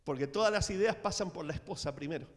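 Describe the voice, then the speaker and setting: A middle-aged man preaching a sermon in a church, telling the story with dramatic swings in loudness. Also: fairly high pitch, fast. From Latin America. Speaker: pastor. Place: church sanctuary. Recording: reverberant church hall.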